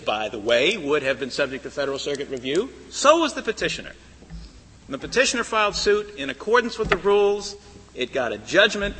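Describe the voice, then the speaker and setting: A man arguing before a high court in a loud, insistent, persuasive manner. His voice is bright and forceful with a husky edge. The archival recording carries the long reverb of a big courtroom. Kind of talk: formal courtroom argument. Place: large courtroom.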